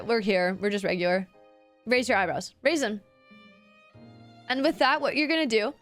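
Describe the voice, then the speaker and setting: A woman's voice: high-pitched, a bit bright. A young woman streamer, giving a chatty, giggly voice lesson to her chat and swearing casually. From Canada.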